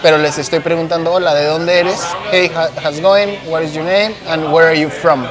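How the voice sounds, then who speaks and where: A man with a Mexican accent hosting a casual conversation. He talks in an animated, slangy way and searches for words with many fillers. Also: a little high, normal speed.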